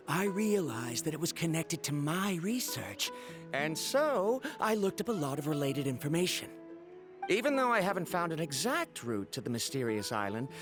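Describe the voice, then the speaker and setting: An actor playing an earnest male researcher in a video game, explaining things in a formal, pedantic way. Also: fairly high pitch. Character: earnest scholarly male researcher of ancient dragons. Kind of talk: scripted video game dialogue.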